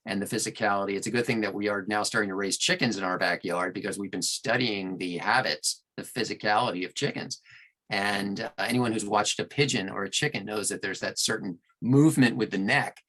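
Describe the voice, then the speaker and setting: A man giving deliberate, explanatory answers as a narrator being interviewed in a conversational style. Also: on the low side, bright, forceful tone, rough recording. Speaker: audiobook narrator and producer, podcast guest.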